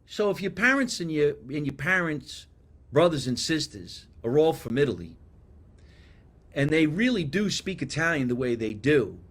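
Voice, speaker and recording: A man with a New Jersey accent hosting a casual live podcast, chatting and reading viewer comments in a rambling, animated way. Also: fairly high pitch, moderate pace. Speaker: podcast host.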